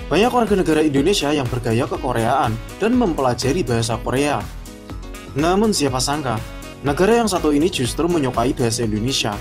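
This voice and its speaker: A man narrates a list video in a casual, friendly script, often calling out to his viewers. His pitch moves around a lot as he reads. Loud guitar music runs under his voice. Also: sing-song, a little fast. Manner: casual, addresses viewers as guys.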